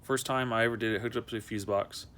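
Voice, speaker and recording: A man's voice: medium pitch, steady tone, fast, a bit bright. A young man talking in a casual, unscripted how-to vlog, rambling and repeating himself in a conversational way. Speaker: amateur video maker showing a car project.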